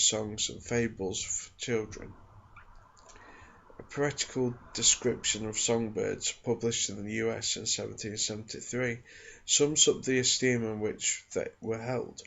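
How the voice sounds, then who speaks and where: A soft-spoken man with a husky voice reads from a non-fiction book in a measured, careful ASMR style. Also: mid-range voice, lo-fi sound, slight background noise. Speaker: ASMR creator reading aloud.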